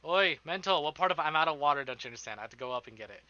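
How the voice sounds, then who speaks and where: A man's voice: a little high, animated, rapid-fire, pushed and bright, no room sound, lo-fi sound. A man streaming live, chatting casually with his viewers in an informal, rambling way and swearing freely.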